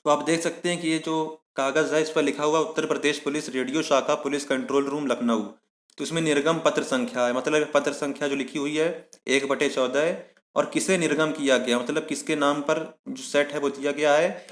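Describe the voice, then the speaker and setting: A man's voice: fairly high pitch, moderate pace. A young man presenting an exam-coaching explainer, speaking steadily and restating points in other words. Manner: steady explaining, often rephrasing with "matlab". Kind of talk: explainer on a job profile. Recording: echoey room.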